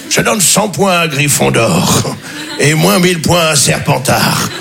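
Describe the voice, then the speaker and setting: A man's voice: fairly high pitch, animated, a little slow, vocal fry, a bit bright, soft background music. A male stand-up comedian telling stories in a casual, slangy, self-mocking way, in a noisy live stage recording.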